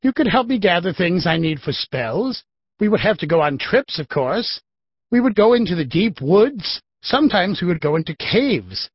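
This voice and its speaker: A man playing a gentle, eager, bumbling novice magician, earnest and friendly, in a children's audiobook. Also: high-pitched, big pitch swings, normal speed, slightly rough, no echo, full character voice. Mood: gentle, hopeful. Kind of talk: children's full-cast audiobook acting. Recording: dry, slightly dull audio.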